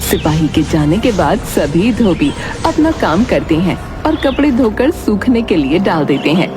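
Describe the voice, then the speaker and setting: A woman's voice: fairly low pitch, very animated, measured pace, over music. A woman reading scripted narration for a children's moral tale.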